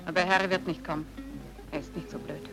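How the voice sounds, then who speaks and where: A woman's voice: lowish voice, fairly even intonation, quick pace, music in the background, natural voice. Woman acting a scornful, cutting young woman. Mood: scornful, mocking. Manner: cutting, mocking. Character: proud, scornful young woman, bitterly loyal. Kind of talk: radio drama acting.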